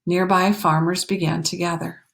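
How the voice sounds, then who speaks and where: A woman reading a children's picture book aloud in an expressive, animated way, pausing for emphasis and talking to young listeners. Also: fairly low pitch, gravelly. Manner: animated, explanatory, pausing for emphasis and dropping to hushed phrases. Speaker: storytime reader.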